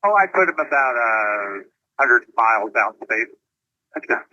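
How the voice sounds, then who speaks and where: A dull, band-limited aviation radio transmission carries a man's voice. He sounds tense and sharp, with clipped procedural phrasing and no room echo. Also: mid-range voice, sing-song, unhurried. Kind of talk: air traffic radio communication.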